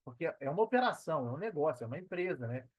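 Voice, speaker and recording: High-voiced Brazilian man, dry close sound. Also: very animated, rapid-fire, husky, no room sound. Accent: Brazilian Portuguese. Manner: conversational, slangy, repeats phrases for emphasis. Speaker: podcast guest, digital marketer. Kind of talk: casual interview talk.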